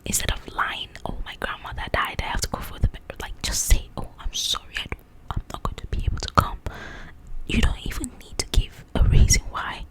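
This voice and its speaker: A woman speaking in a breathy, confiding whisper, chatting casually to the listener in an ASMR style. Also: brisk, low-quality recording. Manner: confiding, conversational, checks that the listener follows. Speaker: host of an ASMR book channel. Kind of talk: ASMR, whispered chat about book highlights.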